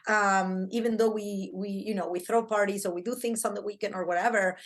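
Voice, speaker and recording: A middle-aged woman from Argentina with an Argentine Spanish accent, chatting as a podcast guest in an excitable, talkative way with many fillers. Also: mid-range voice, brisk. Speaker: podcast guest, branding coach. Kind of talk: casual interview chat.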